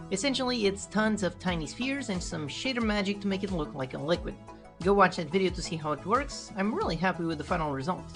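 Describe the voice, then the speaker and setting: A woman narrating a scripted game development video in an explanatory manner, as a host and developer. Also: mid-range voice. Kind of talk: scripted tutorial devlog narration. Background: background music.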